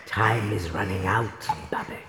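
An actress playing an imperious, cruel sorceress in a fairytale audio drama, commanding and threatening. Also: female voice, rumbling low, fairly even intonation, unhurried. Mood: menacing, cold. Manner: commanding, menacing, dropping to hisses then shouting. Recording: echoey hall reverb with background music.

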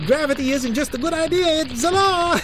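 A man playing a nerdy, excitable scientist who stammers pedantically through jokey explanations in a comedic audio drama. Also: extremely high pitch, moderate pace, noisy background. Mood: pedantic, jokey.

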